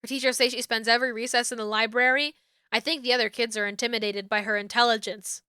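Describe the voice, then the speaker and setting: A woman's voice: a little high, steady tone, fast talker, pushed and bright, smooth, no room sound, clean studio sound. A woman reading the lines of an earnest, friendly suburban dad in a dating-sim game.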